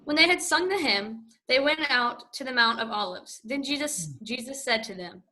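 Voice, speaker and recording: Woman, scripture narrator, bright voice, higher pitch, steady delivery. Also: moderate pace.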